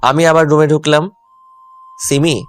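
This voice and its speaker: A man's voice: a little high, a little fast, dry recording. A man narrating a first-person love story as a dramatised audio tale. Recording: music under the voice.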